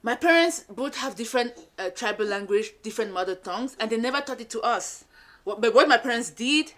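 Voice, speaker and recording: A young woman from Cameroon, a first-time mom vlogging in a sassy, animated way, whose first language is French. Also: fairly high pitch, a little fast. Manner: animated, sassy, switches to French with her toddler. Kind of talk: casual talk to camera.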